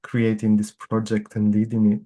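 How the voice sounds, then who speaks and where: Non-native English-speaking man, guest author, mellow lowish voice, measured and earnest. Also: completely flat delivery. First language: not English. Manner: measured, thoughtful, gently earnest. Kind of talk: reflective interview answers.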